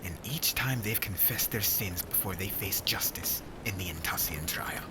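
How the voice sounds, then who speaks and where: A man playing a stern, brooding witch hunter in an ASMR fantasy roleplay, menacing and deliberate, often dropping into breathy half-whispers. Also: fairly low pitch, background noise. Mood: scornful, defensive. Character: stern, brooding young male witch hunter, captor aboard ship. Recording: loud rain, thunder and sea ambience.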